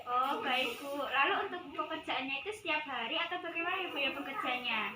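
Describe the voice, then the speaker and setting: A woman's voice: sits high, brisk. A young woman, speaking politely and formally as a nutritionist in a rehearsed counselling dialogue. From Indonesia. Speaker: nutritionist in a counselling role-play. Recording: echoey room, distant mic, clear background noise.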